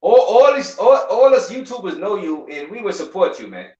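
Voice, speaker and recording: A man's voice: sits high, very animated, moderate pace, a little mellow, echoey room, dull audio. A man on a live stream, chatting casually, laughing often and repeating himself. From United States. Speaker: YouTuber guest on a live stream.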